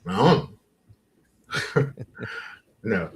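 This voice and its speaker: Animated man with a lively, swooping voice. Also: on the low side, extremely slow, background noise. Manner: animated storyteller who acts out past conversations. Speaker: podcast co-host. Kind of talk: casual chat on a livestream.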